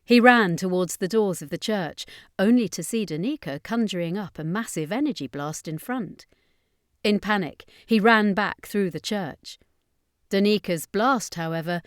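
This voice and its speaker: A woman's voice: on the low side, dry recording, clean studio sound. A woman reading a horror fantasy story as scripted audiobook narration.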